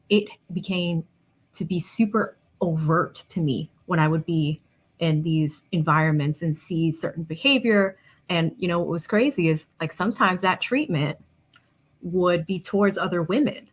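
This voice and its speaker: A middle-aged woman co-host with a slightly low voice speaks casually about her own experience. She is conversational, often checking that listeners follow along. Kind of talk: casual personal talk.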